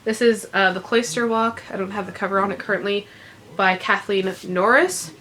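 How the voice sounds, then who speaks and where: A woman's voice: medium pitch, moderate pace, fairly bright tone. Congested woman chatting about books. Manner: rambling, many ums, laughs often. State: congested, recovering from illness. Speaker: book vlogger. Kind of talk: casual unedited book chat.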